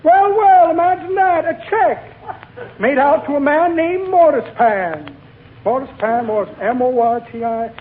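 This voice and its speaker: A man's voice: extremely high pitch, lively intonation, measured pace. An actor playing a rambling old windbag in a 1940s radio comedy, muttering to himself and relishing his own corny jokes. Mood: jovial, sly. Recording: tinny 1940 radio, noisy, live audience.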